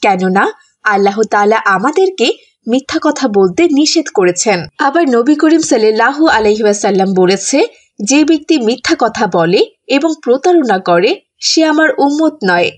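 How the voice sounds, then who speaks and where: A woman's voice: fairly high pitch, lively intonation, moderate pace. A woman voicing a strict female schoolteacher in a children's cartoon, instructing like someone used to being obeyed. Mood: firm, moralizing. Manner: scolding, instructive, ends orders with check questions.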